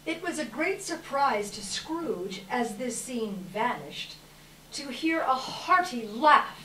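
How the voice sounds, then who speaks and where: A woman reads scripted narration for a live audience reading. Her voice is a little high and very unhurried, with emphatic storytelling phrasing. She is heard from a distance in a roomy space. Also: animated, a bit bright. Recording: roomy, far from mic, faint noise.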